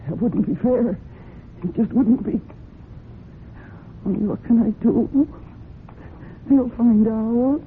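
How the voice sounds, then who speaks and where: A thin, hissy old radio recording carries a fraught woman who fiercely protects her horses. Her voice sits high and has the heightened, breathless urgency of classic mystery drama, as if every word matters to her. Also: takes it slowly, pauses often. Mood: anguished, horrified. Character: distraught, fiercely protective horsewoman narrating her own crime story. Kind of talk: dramatic old-time radio acting with first-person narration. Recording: muffled phone-like old radio recording with hiss.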